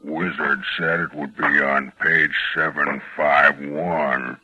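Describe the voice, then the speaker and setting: An actor playing a huge, half-human backwoods man, menacing and obsessive, with slow rustic speech in a 1940s radio horror drama. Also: rumbling low, fairly even intonation, pushed and bright, husky, highs cut off, exaggerated voice. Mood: brooding, insistent. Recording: band-limited 1940s radio recording with music.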